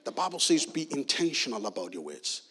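A man's voice: mid-range voice, very animated, brisk, a bit bright, slightly rough. A middle-aged man from South Africa preaching a sermon with emphatic, repeated key phrases.

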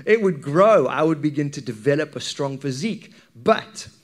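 A man's voice: fairly high pitch, lively intonation, moderate pace. A man with a Zimbabwean accent preaching a sermon to a congregation in a conversational, questioning manner. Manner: conversational, engages listeners with questions and anecdotes.

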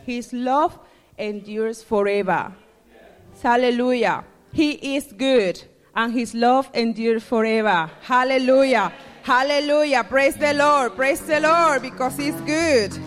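A woman's voice: sits high, unhurried, faint music behind. Joyful Dominican woman preaching in a church hall. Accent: Dominican Spanish. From Dominican Republic. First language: Spanish. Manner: joyful, laughing, repeating hallelujah. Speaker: church member giving a testimony. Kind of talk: church testimony and short sermon. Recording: long hall reverb.

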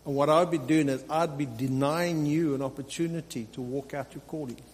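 A man's voice: fairly high pitch, steady tone, brisk, darkish tone. A man preaching as a pastor, exhorting his congregation in an unscripted teaching style and repeating phrases for emphasis. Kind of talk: sermon, extemporaneous teaching. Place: large reverberant room, live preaching. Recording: hall reverb, faint hum and noise.

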